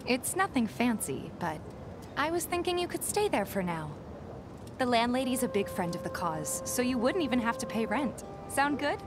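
A kind young barkeep. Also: female voice, on the high side, sing-song, moderate pace, over music. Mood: warm. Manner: gentle, a bit flustered. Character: kind young woman bar owner, caring and earnest. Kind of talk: video game voice acting.

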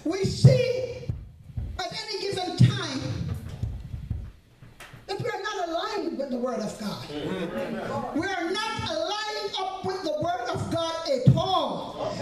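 Older woman, fervent sermon, very slow delivery, sings phrases, far off in an echoing hall. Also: very high-pitched. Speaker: evangelist. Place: large church hall. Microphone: handheld microphone. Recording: echoey hall, far camera, loud music.

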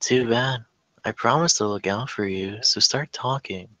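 Woman playing caring no-nonsense aunt, forties, forceful bright voice, dry table-read sound. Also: very deep voice, unhurried, no room sound. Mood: caring, probing. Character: caring, direct forty-four-year-old aunt, an EMT. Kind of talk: scripted table-read acting.

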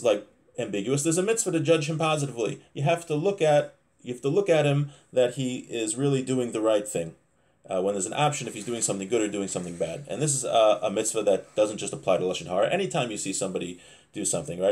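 A man in his thirties giving an informal religious lesson, reading from a text and explaining it haltingly, often rephrasing himself. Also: on the low side, a little mellow. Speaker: religious teacher. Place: small home office, indoors.

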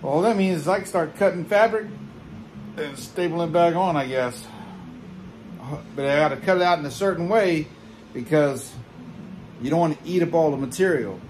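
A man's voice: a little high, moderate pace, pauses often, darkish tone, slight background noise, rough recording. A man in his forties talking casually in a folksy, rambling way while he works on upholstery in a barbershop, filming himself close to a handheld camera. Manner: rambling, folksy, self-correcting. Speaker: DIY video host. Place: barbershop interior.

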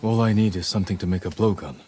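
A man's voice: deep voice, fast talker, a little hiss or hum, natural voice. A man playing a stoic, resolute samurai lord in a video game cutscene, with restrained delivery. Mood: reassuring. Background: soft cinematic game music.

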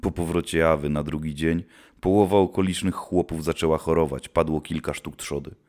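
Male actor as seasoned witch hunter: low, solemn, grim, archaic storytelling for audio drama. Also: fairly even intonation, brisk, darkish tone. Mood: grim, reminiscing.

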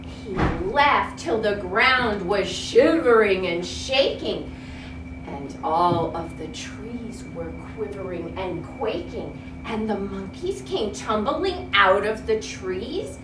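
A woman's voice: a little high, expressive, takes it slowly. An older woman, a reading teacher, reading a children's story aloud in a theatrical, emphatic way. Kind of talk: expressive children's read-aloud. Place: school classroom. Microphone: distant camera. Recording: roomy, noisy classroom, distant mic.

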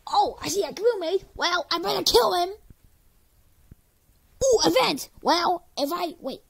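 Very high creaky animated female voice. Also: unhurried, no echo. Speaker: amateur animation voice performer. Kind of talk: exaggerated amateur animation character voice lines.

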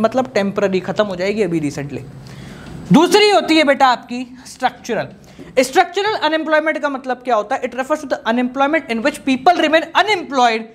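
A man in his thirties teaching an exam-prep economics class in a studio, playful and energetic, drilling key terms by repeating them. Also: very high voice. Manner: lively and playful, repeats key terms, gestures while talking. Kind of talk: energetic exam-prep lecture, Hindi mixed with English. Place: studio in front of a projected slide screen.

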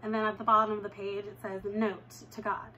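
A young woman reading a children's novel aloud at home, with lively jumps in pitch when she reads dialogue. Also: fairly quick, a little hiss or hum. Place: living room at home, indoors.

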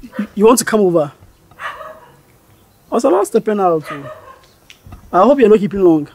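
A relaxed young man chats casually with a friend, his pitch swinging up and down a great deal, as an actor plays him. A faint hiss runs under his voice. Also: normal speed. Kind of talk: scripted skit acting.

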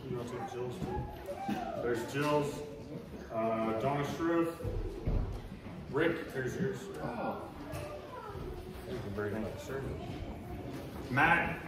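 A man's voice: medium pitch, huge pitch swings, slow pace, long pauses. Male elder, friendly and informal, distant in a very echoey, noisy sanctuary. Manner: friendly, informal, calls people buddy. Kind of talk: calling names for recognition. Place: church sanctuary. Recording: echoey church, noisy, far from mic.

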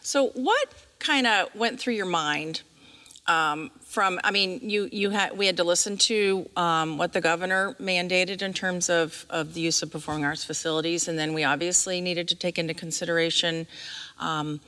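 A middle-aged American woman conducting a conversational interview, asking wordy questions in a halting way. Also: lowish voice, bright, forceful tone. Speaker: interviewer, school district staff member. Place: large theatre stage, indoors.

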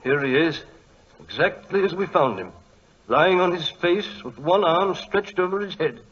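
Fussy, courteous British archaeologist, hesitant and husky, 1940s radio style, dull old recording. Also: male voice, a little high, lively intonation, a little slow, fairly bright tone, full character voice. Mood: earnest. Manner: hesitant, apologetic, polite.

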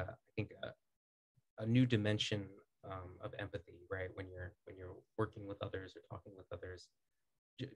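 A man in his thirties from the United States, a podcast guest speaking in a measured, articulate way with frequent "um"s, recorded on a studio boom mic in a small foam-lined studio. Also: fairly bright tone, rough recording. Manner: measured, articulate, many "um"s and restarts. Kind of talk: casual interview talk.

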